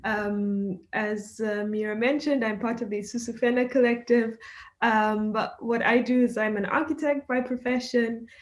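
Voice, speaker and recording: A young woman from Zimbabwe speaking as a guest in an informal online discussion, measured and thoughtful. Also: a little high, steady tone. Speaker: guest, architect.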